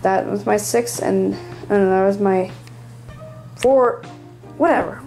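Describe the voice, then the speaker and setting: A hobbyist giving a casual, rambling show-and-tell in a small room at home, speaking haltingly near a handheld camera. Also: medium pitch, gravelly, darkish tone, faint music behind, rough recording. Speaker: hobbyist presenting a radio collection. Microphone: handheld camera close by.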